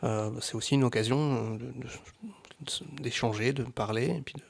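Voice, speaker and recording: A man's voice: mid-range voice, normal speed, gravelly. A man speaking casually as a guest on a live panel, hesitant and searching for his words. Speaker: guest, amateur audio fiction creator.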